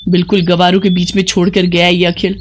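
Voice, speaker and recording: A narrator voicing a bratty, short-tempered young city daughter-in-law who scolds indignantly in an animated moral story. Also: mid-range voice, brisk, a little hiss or hum, natural voice. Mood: indignant, scolding.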